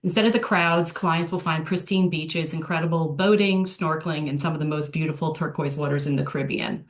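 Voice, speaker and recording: Low-pitched woman presenting a webinar. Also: steady tone, a little fast. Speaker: product manager hosting a travel webinar. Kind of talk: prepared webinar introduction.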